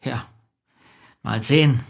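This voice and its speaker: Mild, plain-spoken bookseller. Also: male voice, mid-range voice, slow, gravelly, husky, exaggerated voice. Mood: mild, obliging. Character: mild, obliging small-town bookseller. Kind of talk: German radio drama dialogue.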